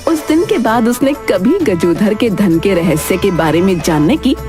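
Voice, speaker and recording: A woman's voice: mid-range voice, lively intonation, normal speed, over music. A woman narrator reading a scripted moral tale in a storytelling style.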